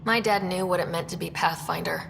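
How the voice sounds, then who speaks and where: A woman's voice: lowish voice, steady tone, brisk, vocal fry, noisy background. An actress playing an earnest young woman who leads explorers in a video game. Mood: resolute. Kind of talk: video game cutscene acting. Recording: reverberant hall with music behind.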